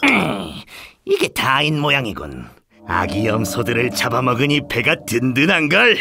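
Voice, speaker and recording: A man's voice: mid-range voice, wildly swooping pitch, moderate pace, vocal fry, a crowd in the background. A man voicing a greedy, smug big bad wolf in a children's fairy tale, with a theatrical, self-satisfied drawl. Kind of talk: children's storybook voice acting.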